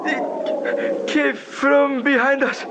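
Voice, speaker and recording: An actor in an old radio drama playing a gravely injured, loyal Himalayan porter, pleading in halting, laboured phrases. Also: male voice, very high voice, steady tone, full character voice. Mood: frightened, gasping. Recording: muffled vintage radio recording, heavy noise.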